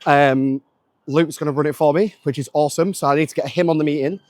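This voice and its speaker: A man's voice: fairly high pitch, steady tone, fast, a little mellow, dry recording. A man from Manchester, a business founder chatting casually to camera in a rambling way, full of "um" and "like". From Manchester, England. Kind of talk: casual vlog chat.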